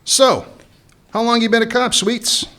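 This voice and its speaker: A man's voice: extremely high pitch, fairly even intonation, measured pace, sharp tone, heavily acted. A man playing a cynical male smuggler turned hotel owner, dry and sardonic, in a radio play. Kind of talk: amateur radio drama acting.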